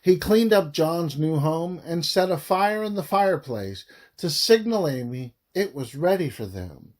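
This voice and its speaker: A man reading a scripted audiobook narration in a deliberate way, phrase by phrase with frequent pauses. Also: fairly high pitch, lively intonation. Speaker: author reading own audiobook.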